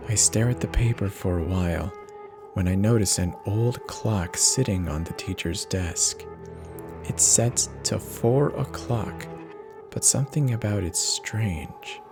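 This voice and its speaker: A man narrates horror in a low, theatrical voice. His delivery is measured and intense, taking its time over each phrase, with faint music underneath. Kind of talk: dramatic first-person horror reading.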